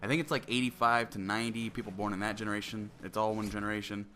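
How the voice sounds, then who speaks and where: A man's voice: on the low side, level tone, fast, fairly bright tone, a little hiss or hum. A man in his twenties with a cold and a nasal, stuffy voice, rambling through a solo commentary and often breaking off mid-sentence to restart. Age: 20s. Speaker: gaming commentator.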